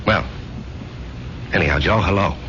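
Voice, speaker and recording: An actor playing an earnest, insecure salesman and husband in a 1970s radio drama, speaking in a hesitant, halting, pleading way. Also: low voice, a bit bright. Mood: nervous. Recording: dull, noisy old radio broadcast recording.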